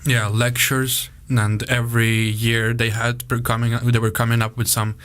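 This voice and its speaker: A man's voice: medium pitch, little pitch movement, moderate pace, a bit bright. Young man, easygoing chatter, Russian native. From Estonia. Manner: loose and chatty, leaning on "like" fillers. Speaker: podcast guest. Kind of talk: casual conversation.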